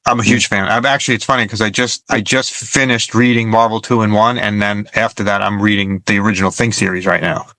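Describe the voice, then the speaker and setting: A man's voice: on the low side, steady tone, quick pace, hardly any pauses, sharp tone, dry recording, rough recording. A man hosting a casual interview as an enthusiastic, gushing fan. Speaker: interviewer, comic book fan host.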